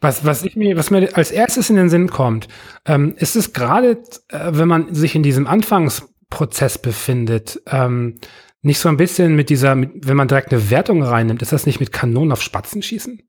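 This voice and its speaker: A man interviewing a guest in a relaxed conversation, pausing often with fillers and restarting his sentences. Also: a little high, slightly rough. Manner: hesitant, many fillers and restarts. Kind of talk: casual interview.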